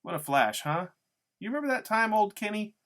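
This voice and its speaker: A man's voice: high voice, sing-song, a little fast, fairly bright tone, voice pushed up, full character voice. A man voicing a giggly, mocking, slangy tough woman in a story reading. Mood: gloating. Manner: teasing, taunting, slangy. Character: giggly, mocking, needling tough woman who drinks beer.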